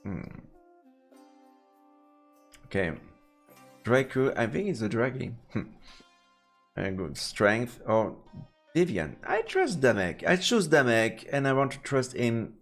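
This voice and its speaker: Animated man streaming a game. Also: mid-range voice, slow pace, long pauses, soft background music. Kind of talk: let's play commentary and reading narration aloud.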